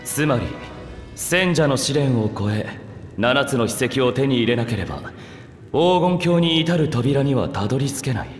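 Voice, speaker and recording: An actor playing a composed, analytical young scholar in a video game, explaining in a measured way. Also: male voice, mid-range voice, expressive, natural voice. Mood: thoughtful. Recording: echoey hall reverb, music behind.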